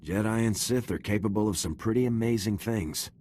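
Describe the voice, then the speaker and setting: A man's voice: fairly low pitch, a little fast, a little mellow, slight background noise, lightly acted. A man playing a resolute, duty-bound special forces captain in a video game, firm and plain-spoken. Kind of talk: scripted video game dialogue.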